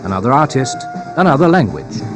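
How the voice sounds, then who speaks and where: A man narrates from a script in documentary style. His mid-pitched voice moves with lively intonation, and music plays clearly behind him. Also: brisk.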